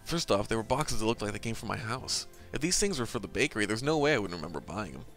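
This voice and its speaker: A man's voice: on the low side, sing-song, fast talker, fairly bright tone, faint music behind. A man doing let's play commentary and reading game text aloud, rambling with asides. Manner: rambling, full of ums and asides.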